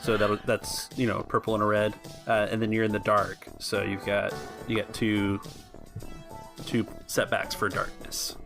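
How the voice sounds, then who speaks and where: A man's voice: fairly low pitch, completely flat delivery, a little slow, vocal fry. A man working as game master, casually narrating a tabletop game and talking players through it. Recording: music behind the voice. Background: music.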